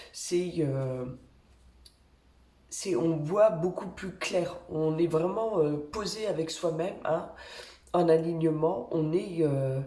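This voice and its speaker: A woman with a cold talks through her weekly card reading in a slow, unhurried way, stopping often to search for words. Her voice is mellow and a little low, and the room around her rings with echo. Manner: gentle, reassuring, pauses often while drawing cards. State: has a cold. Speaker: energy healer giving weekly card guidance. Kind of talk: slow, hesitant spoken guidance with many fillers.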